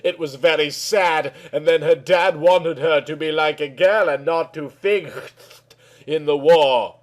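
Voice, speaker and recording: A man with a very high voice narrates a storybook tale. The delivery is slow and naive, with childlike phrasing and mangled words.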